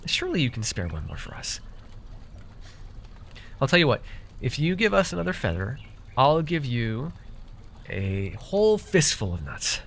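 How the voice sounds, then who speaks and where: A man performs a male fae potion brewer for a fantasy roleplay audio, with a lively, swinging delivery. He is chatty and wry but friendly, slipping into hesitant muttered asides. Ambient noise and pouring sit behind the voice. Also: medium pitch, moderate pace, gravelly, pauses often, full character voice. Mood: haggling, playful.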